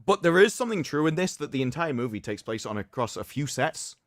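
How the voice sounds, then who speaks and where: A man hosting a livestream, reading aloud in a mocking, theatrical way with sarcastic asides and drawn-out emphasis. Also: mid-range voice, very animated, no echo, studio quality. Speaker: livestream host. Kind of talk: mocking dramatic reading of an article with commentary.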